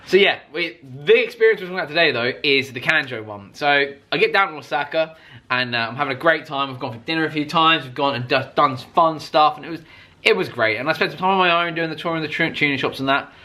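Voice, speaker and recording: British male car vlogger, bright punchy voice, casual rambling storyteller, keen, slangy and sweary. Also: on the high side, fast talker.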